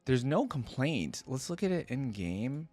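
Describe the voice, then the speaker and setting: A man's voice: medium pitch, big pitch swings, measured pace, darkish tone, faint music behind. A man giving casual, unscripted reaction commentary as a video host, enthusiastic and rambling with informal, slangy wording.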